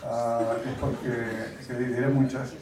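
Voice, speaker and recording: A man giving a lecture, wry and conversational, pausing often to search for words. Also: medium pitch, flat delivery, a crowd in the background. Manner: halting, searching for words with many fillers. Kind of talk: lecture to an audience. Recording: echoey hall, mic at a distance.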